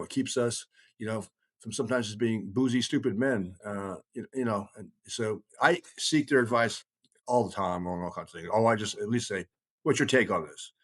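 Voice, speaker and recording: Middle-aged man, lowish voice, conversational podcast talk; wanders through his points and repeats words to press them home. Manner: rambling, emphatic, repeats phrases for stress.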